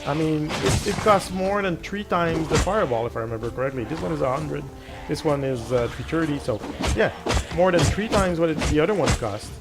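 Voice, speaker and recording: Gravelly French-native man over game music. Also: a little high, brisk. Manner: rambling, self-deprecating, thinks aloud with many restarts. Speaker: gaming channel host. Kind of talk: casual gameplay commentary.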